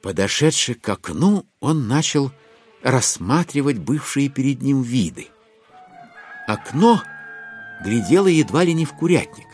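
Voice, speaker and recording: A man narrating a classic literary story in an expressive, measured storytelling manner. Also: mid-range voice, slightly rough, faint music behind.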